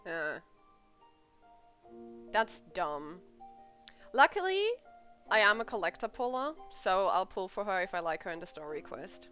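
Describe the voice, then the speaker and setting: A woman gives casual game playthrough commentary in a medium-pitched voice. Her delivery is informal, blunt and joking. Faint music sits behind her. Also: sing-song, measured pace, long pauses, pushed and bright. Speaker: game streamer.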